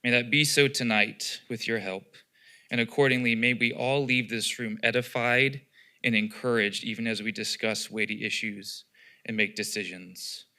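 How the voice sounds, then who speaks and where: A man leads an opening prayer at a public meeting, earnest and measured in tone. His voice is smooth and resonant, with a bright, forward edge. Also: mid-range voice, steady tone. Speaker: pastor.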